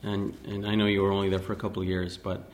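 A man's voice: sits low, completely flat delivery, fast, a little mellow. A man interviewing someone for an oral history, asking long, rambling questions full of restarts.

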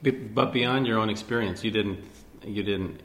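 A man's voice: lowish voice, normal speed, a bit bright, poor audio. A man interviewing someone for an oral history, asking long, rambling questions full of restarts.

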